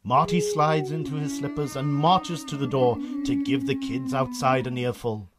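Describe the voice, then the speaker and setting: Higher-pitched Northern Irish male storyteller. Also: very animated, normal speed, over loud music. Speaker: narrator. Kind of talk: scripted radio drama narration.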